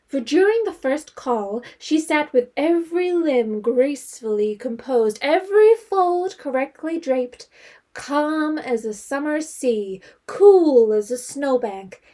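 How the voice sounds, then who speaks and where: An actress narrating a period novel in an audio drama as a wry, composed storyteller. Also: female voice, sits high, expressive, takes it slowly, mellow.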